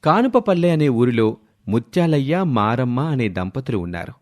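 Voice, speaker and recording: A male storyteller with a medium-pitched voice. He reads scripted narration with expressive delivery, as for a moral tale. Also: normal speed.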